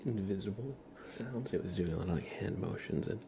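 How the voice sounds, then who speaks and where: A man with a gravelly, fry-laden voice speaks softly as an ASMR host, often slipping into a whisper. He murmurs gently and haltingly, close to the microphone. His register sits on the low side, and clear background noise with clicking runs behind him. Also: animated. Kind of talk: soft-spoken, half-whispered ASMR chat and playful roleplay.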